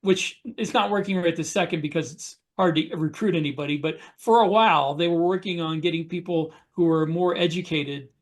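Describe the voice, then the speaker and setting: Male podcast co-host, voice a bit high, casual explanatory delivery with pauses mid-thought. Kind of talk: casual discussion of writing military science fiction.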